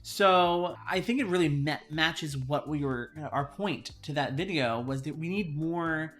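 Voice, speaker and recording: A woman's voice: low-pitched, a little slow, faint music behind. A woman co-hosting a book review show, chatting casually and emphatically about what she reads.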